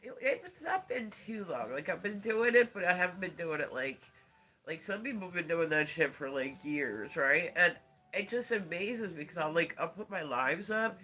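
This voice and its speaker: A man's voice: sits high, animated, normal speed, pushed and bright. Congested, nasal man chatting on a livestream. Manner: rambling, many filler words, frequent laughter. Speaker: live streamer. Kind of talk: casual livestream chat.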